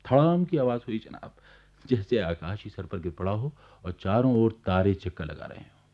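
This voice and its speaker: An actor playing a genial, wry government officer laid up in bed, confiding in the listener as a radio play narrator. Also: male voice, lowish voice, expressive, moderate pace, natural voice. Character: genial bedridden government officer recounting his neighbours' life. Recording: dull archival radio recording.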